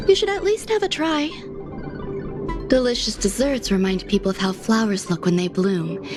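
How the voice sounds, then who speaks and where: Game role: eccentric woman scientist, calm and measured, higher register, exacting diction, music bed. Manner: measured, precise, formal wording. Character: serene, eccentric female scientist who loves desserts. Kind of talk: video game voice acting. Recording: music behind the voice.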